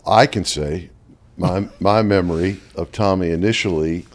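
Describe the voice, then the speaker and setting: A man's voice: sits low, a little slow. A man in his fifties, a longtime play-by-play announcer, chatting in an interview and telling stories with frequent laughs. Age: 50s. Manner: animated storyteller who laughs often. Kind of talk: casual interview chat.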